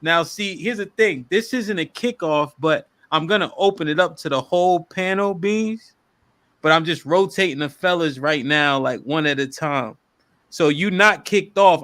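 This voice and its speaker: A man's voice: a little high, normal speed, pushed and bright. A man hosting a live-stream podcast, reading out paid chat messages in loose, slangy talk, laughing often and repeating words for emphasis. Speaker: podcast host reading super chats.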